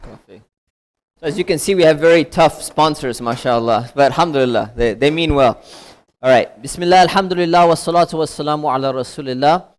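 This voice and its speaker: A man's voice: fairly high pitch, fast. A man moderating a community panel discussion, conversational and probing, sprinkling his speech with Arabic blessings.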